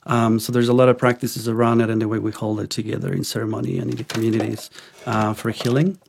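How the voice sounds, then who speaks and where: Low gravelly man from Ecuador. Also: fast, slight background noise. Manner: relaxed, hesitant with many ums and restarts. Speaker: podcast guest. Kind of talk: casual interview conversation.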